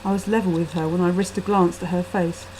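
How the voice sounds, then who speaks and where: A woman's voice: on the low side, fairly quick, a little hiss or hum, poor audio. A woman reading her own gothic short story expressively, recorded on a laptop webcam microphone. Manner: expressive, acting out the dialogue. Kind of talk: dramatic amateur reading of gothic fiction. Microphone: built-in laptop webcam microphone.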